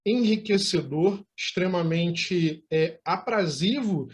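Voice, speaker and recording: High-voiced Brazilian male interview host. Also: takes it slowly. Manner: formal, elaborate wording. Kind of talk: conversational interviewing.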